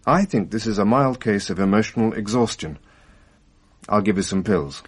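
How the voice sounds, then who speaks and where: An actor playing a calm, matter-of-fact doctor who speaks in a measured, reassuring, slightly wry way in a radio drama. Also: male voice, lowish voice, husky.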